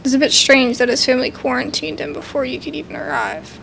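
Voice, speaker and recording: A woman's voice: high-pitched, big pitch swings, fast, vocal fry, a bit bright, slight background noise. A woman reading a game's narration aloud and adding casual commentary as she plays. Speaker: gamer playing and reading a game aloud.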